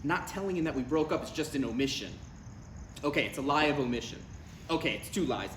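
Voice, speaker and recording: A man plays a defensive son in his thirties at a live comedy table read. His voice is slightly high and jumps around in pitch as he argues in halting, incredulous bursts. The room rings around him with faint noise. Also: expressive, a bit bright. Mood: flustered. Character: Ryan, exasperated thirty-six-year-old son, needling and defensive. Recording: roomy live recording, faint background noise.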